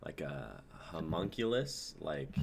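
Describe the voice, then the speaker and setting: Young man, very low pitch, animated, casual hesitant chat, faint background noise. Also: slow, vocal fry. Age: young adult. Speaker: actor and reader on a group livestream. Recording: noisy livestream group call.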